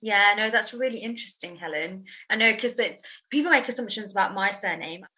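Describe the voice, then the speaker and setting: A woman from England hosting a podcast and telling frank, lively stories. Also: medium pitch, a little fast. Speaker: podcast host. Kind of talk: podcast conversation.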